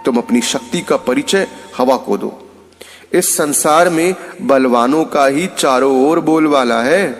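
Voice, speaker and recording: A man's voice: mid-range voice, unhurried, long reverb tail, close to an everyday voice. A man voicing a proud, boastful jamun tree in a storybook moral tale, with a mocking, haughty manner. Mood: scornful, boastful.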